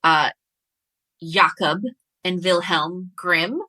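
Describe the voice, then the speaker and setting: A woman's voice: on the low side, steady tone, extremely slow, a crowd in the background. A woman speaking as a guest author in a casual discussion about books.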